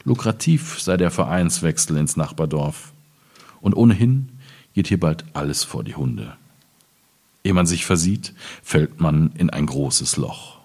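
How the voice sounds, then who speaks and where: A man speaking as a poet at a literary reading, in measured, clearly phrased German delivery. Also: very deep voice, lively intonation, a little mellow. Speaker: poet reading his own work. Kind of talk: literary reading of poems with informal introduction.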